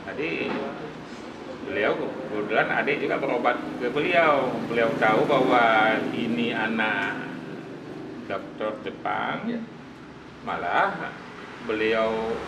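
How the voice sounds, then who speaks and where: A man's voice: mid-range voice, animated, measured pace, pauses often. A man, rambling through memories and searching for names and words. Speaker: interviewee, relative. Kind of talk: casual reminiscence. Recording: distant, echoey, very noisy.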